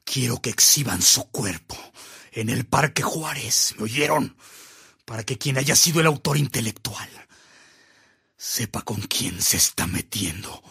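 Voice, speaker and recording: An actor playing a hot-tempered, vindictive governor in a radio drama, barking orders theatrically in a gravelly, husky voice. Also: male voice, medium pitch, animated, a little slow, pushed and bright, full character voice. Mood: furious, commanding. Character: hot-tempered, vindictive state governor, gloating over violence.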